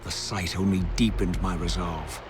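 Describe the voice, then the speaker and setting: A man's voice: deep voice, fairly even intonation, normal speed, close to an everyday voice. A man playing a solemn, vengeful undead wraith in a video game, with formal, theatrical diction. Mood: solemn, brooding. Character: vengeful, solemn male undead wraith narrating his quest. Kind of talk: scripted dramatic video game narration and dialogue. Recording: background music under the voice.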